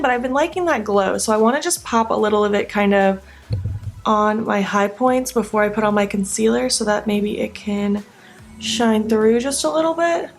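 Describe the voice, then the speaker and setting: Young woman, sleepy voice, casual rambling chat, mid pitch, some vocal fry. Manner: chatty and rambling, with filler likes. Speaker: beauty vlogger. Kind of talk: casual get-ready-with-me chat.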